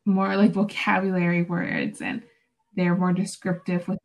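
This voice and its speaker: A woman's voice: fairly low pitch, big pitch swings, measured pace, creaky. A young woman, a student whose first language is Spanish, talking reflectively on a panel with many fillers and restarts. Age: young adult. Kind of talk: casual panel discussion.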